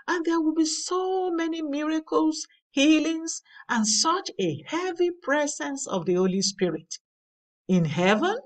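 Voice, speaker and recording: A woman reading a daily devotional aloud in a measured, earnest way. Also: fairly high pitch, a little mellow, recorded from a distance. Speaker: devotional reader. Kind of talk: slow scripted devotional reading. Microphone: voice-over over slides.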